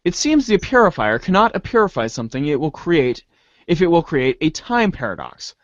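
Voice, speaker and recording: A man's voice: on the high side, very animated, normal speed, no room sound, muffled recording. A man hosting and narrating a reader's theater adventure in a theatrical, mock-grand manner. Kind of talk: dramatic narration of a comic adventure.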